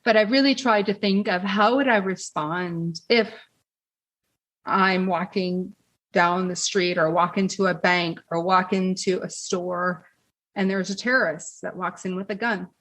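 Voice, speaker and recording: A woman's voice: lowish voice, fairly even intonation, unhurried, a bit bright. A woman who is an executive coach, a podcast guest talking reflectively and earnestly, sometimes stammering with repeated words. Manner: earnest, stammering repeats when reaching for a thought. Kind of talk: reflective conversation.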